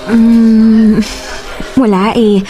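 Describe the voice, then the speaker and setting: An actress playing a modest, joking young newcomer in a radio drama, playful and self-deprecating. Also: female voice, a little high, flat delivery, drawn-out words, warm tone. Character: modest, joking young woman newly working abroad. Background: faint music.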